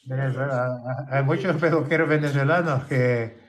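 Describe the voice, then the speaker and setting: A man's voice: mid-range voice, moderate pace, a crowd in the background. A man from Brazil speaking Spanish with a Brazilian accent, chatting casually as a guest dog groomer. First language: Portuguese. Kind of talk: casual chat.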